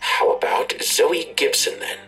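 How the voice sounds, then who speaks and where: An actor playing a menacing, coldly insistent blackmailer in an audio drama, taunting and deliberate, with a gravelly, husky voice that slips into whispers. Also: male voice, fairly high pitch, sing-song, pushed and bright, full character voice, poor audio. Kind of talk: full-cast audio drama acting.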